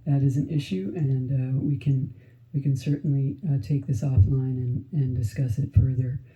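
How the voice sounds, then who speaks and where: A man, a fire chief, giving halting remarks full of fillers and restarts at a public commission meeting. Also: mid-range voice, fairly even intonation, mellow. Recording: dull lo-fi meeting audio.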